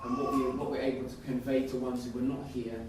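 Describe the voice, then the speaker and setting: A man's voice: medium pitch, level tone, fast, darkish tone. Echoey, distant man leading a group discussion. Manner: emphatic, repeats phrases for stress. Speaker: community meeting facilitator. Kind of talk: group discussion, reflective reasoning. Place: indoors at a community centre, distant mic. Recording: echoey room from far off, noisy.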